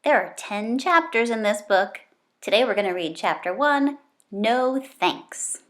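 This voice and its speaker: A woman's voice: fairly high pitch, expressive, measured pace, fairly bright tone. A woman hosting a read-aloud, narrating a storybook expressively and talking directly to young children.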